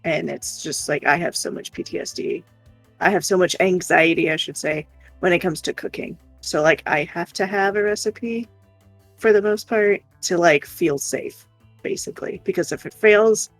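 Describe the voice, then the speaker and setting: Chatty woman on a stream, kinda gravelly, dry close sound, music behind her. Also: lowish voice, moderate pace, no echo, low-quality recording. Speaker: livestream co-host. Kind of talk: casual chat.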